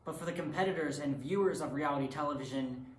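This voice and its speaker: A man performing readers theatre as a blunt reality TV producer, with deliberate dramatic emphasis. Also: a little high, lightly acted. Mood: dramatic, knowing. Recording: echoey room.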